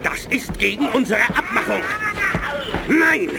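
A desperate, defiant alien captive in a 1980s radio drama. His high voice creaks and lurches up and down as he protests. Clear noise rumbles behind him. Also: expressive, a little slow, full character voice. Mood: desperate, outraged. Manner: agitated, protesting, overwrought. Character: desperate betrayed alien prisoner, pleading and defiant. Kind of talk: 1980s German radio play acting.

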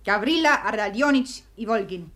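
A woman's voice: mid-range voice, expressive, normal speed, a bit bright, close to an everyday voice. An actress in a 1950s German radio play, playing a proud, mocking society woman with an imperious, theatrical delivery.

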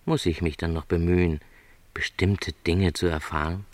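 An actor as a low-voiced, resigned middle-aged salesman. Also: fairly even intonation, dry recording, close to an everyday voice. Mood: resigned, thoughtful. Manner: measured, reflective, hesitant phrasing. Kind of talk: literary radio drama dialogue.

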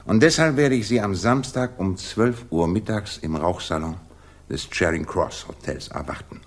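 A man's voice: low voice, moderate pace. An actor in a German radio drama playing a shrewd, commanding male detective who dictates with precise authority.

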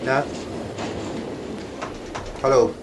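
An actor in a Dutch radio play, playing a middle-aged father and ambitious office gentleman, anxious and condescending. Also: extremely slow. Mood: agitated. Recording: noisy old radio play recording.

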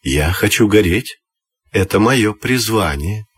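A man voicing Oil, a friendly, evasive and calm substance in a children's storybook tale, speaking in an unruffled manner. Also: on the low side, slow, breathy edge, dry recording, heavily acted.

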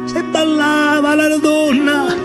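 A man's voice: very high voice, monotone, slow, loud music behind the voice, voice pushed up. A man playing a wounded young soldier with a Roman accent, tearful, dreamy and nostalgic, in a theatrical verse monologue. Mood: wistful, pleading.

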